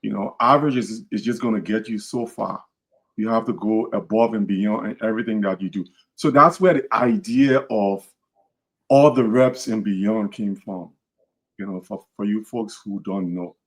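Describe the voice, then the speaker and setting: A man's voice: on the low side, moderate pace. A man from Liberia with a Liberian accent, hosting a podcast in an earnest, repetitive, casual way. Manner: earnest, repeats words and restarts phrases. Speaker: podcast host.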